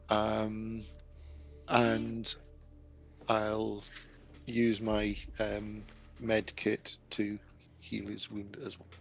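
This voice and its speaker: A man's voice: lowish voice, creaky. A man with a Yorkshire accent playing a tabletop game, hesitant and prone to restarts, with a playful streak. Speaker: player.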